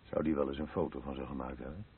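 An actor playing a curious, watchful bystander in a radio play. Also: male voice, a bass voice, fairly even intonation, fast talker. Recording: distant, muffled, noisy radio play recording.

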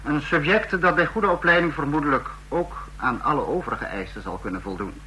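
Fascist leader in radio drama: higher-set, bright voice, formal, commanding, measured. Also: male voice, expressive, a little hiss or hum, poor audio. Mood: coolly commanding. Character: stern male dictator of a fascist state. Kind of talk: radio play acting.

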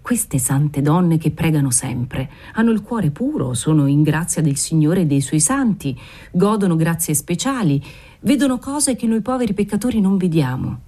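A woman's voice: on the low side, lively intonation, moderate pace. Woman reading as a volatile old nobleman. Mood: fervent. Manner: swings from dreamy murmuring to furious shouting; fervent, obsessive. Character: aging nobleman, desperate, volatile, pious father.

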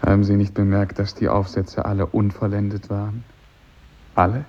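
Gravelly, unsettled, intense man. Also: lowish voice, level tone, normal speed. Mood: eerie, probing. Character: mentally disturbed former pupil, eerie, intense, easily affronted. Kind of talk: 1950s German radio drama acting. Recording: dull vintage radio recording.

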